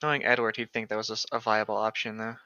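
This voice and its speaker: Bright-voiced joking man, dull dry recording. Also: fairly low pitch, little pitch movement, fast, clear, resonant tone, no room sound. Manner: jokey, mocking. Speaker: co-host of a book read-along show. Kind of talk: casual joking chat with read-aloud passages.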